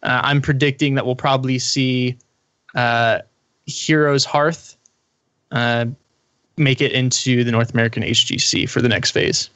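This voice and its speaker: A man's voice: mid-range voice, steady tone, unhurried, sharp tone, no room sound. A man co-hosting a podcast, talking casually through esports news. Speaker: podcast co-host.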